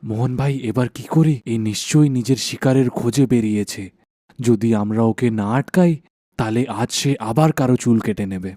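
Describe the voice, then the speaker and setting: A man playing an anxious, suspicious village husband in an animated folk tale, speaking in a worried, exclaiming way. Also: medium pitch, brisk, no room sound, studio quality, close to an everyday voice. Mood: tense.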